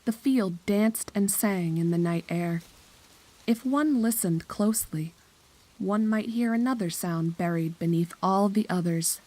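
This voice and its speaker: A woman's voice: medium pitch, a little slow, dark tone, rough recording. A woman narrator reading a scripted horror story.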